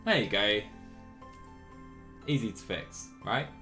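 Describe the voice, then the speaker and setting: A man's voice: fairly low pitch, expressive, takes it slowly, sharp tone. A man live-streaming a game, chatting casually and a little apologetically as he thinks aloud. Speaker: live streamer playing a cycling management game. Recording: music playing behind the voice. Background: background music.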